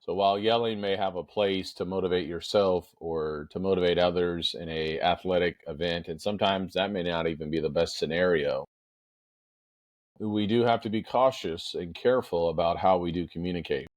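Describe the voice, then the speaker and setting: A middle-aged man with a powerful voice, hosting a podcast in an emphatic, deliberate motivational monologue with storytelling. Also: sits low, no room sound. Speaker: podcast host.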